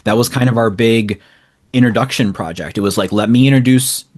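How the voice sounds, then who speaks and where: A man's voice: mid-range voice, fairly quick, darkish tone. A man giving casual, explanatory interview answers in long, rambling, informal runs as a guest. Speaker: interview guest, small indie publisher.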